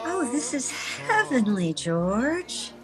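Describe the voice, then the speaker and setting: A woman playing a poised, smitten newspaperwoman in an old-time radio romance, measured, tender and ladylike. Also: high voice, sing-song, over loud music, exaggerated voice. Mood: dreamy. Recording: video-call radio play recording.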